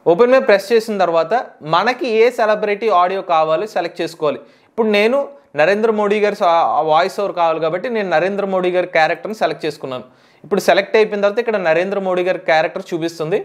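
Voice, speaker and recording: A man with a Telugu accent hosting a step-by-step software tutorial, instructional and mixing in English tech terms. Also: sits high, very fast. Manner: instructional, mixes English tech words into speech.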